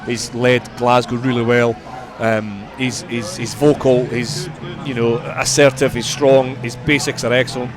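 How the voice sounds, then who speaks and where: A man giving conversational sports punditry as a radio guest, measured and repeating words for emphasis. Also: mid-range voice, flat delivery, music in the background.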